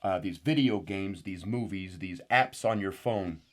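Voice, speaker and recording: A paranoid uncle on a fringe talk show, speaking in a fairly low voice. He rambles through his claims and then breaks into sudden excitable outbursts. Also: unhurried. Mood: rambling indignant. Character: rambling paranoid uncle guest, father of sixteen. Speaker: guest. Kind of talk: deadpan parody talk show.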